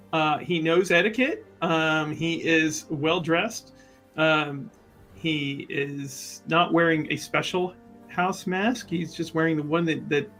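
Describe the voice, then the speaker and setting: Higher-pitched man, halting and rephrasing. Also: fairly bright tone. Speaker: player in a tabletop roleplaying game. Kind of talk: casual in-character explanation at the game table.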